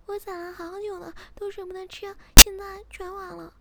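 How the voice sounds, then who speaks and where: Against loud background noise comes a feisty little rabbit, acted out by a woman in a high-pitched voice. It scolds in quick, sharp bursts, a touchy storybook animal that is easily hurt. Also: little pitch movement, vocal fry. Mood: upset. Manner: fast, sharp, scolding talk. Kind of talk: bedtime storybook character voice.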